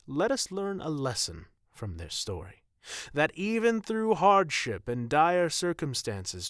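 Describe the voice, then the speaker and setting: A man playing a solemn, formal wedding officiant in a fantasy audio roleplay, speaking in stately, measured ceremonial proclamations. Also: on the high side, a bit bright, dry recording, studio quality.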